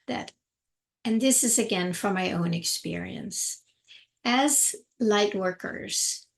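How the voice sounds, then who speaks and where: A middle-aged woman speaks slowly and unhurriedly, her voice carrying a gravelly fry. She talks calmly as a spiritual teacher, with a middle-range pitch, often pausing to find the right words. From Denmark. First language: Danish. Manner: calm, unhurried, searching for words. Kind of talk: reflective spiritual talk.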